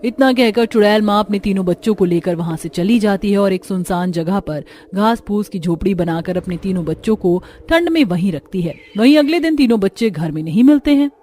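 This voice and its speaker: A woman's voice: mid-range voice, brisk, few pauses, warm tone. A woman narrating a cartoon story in a dramatic scripted style.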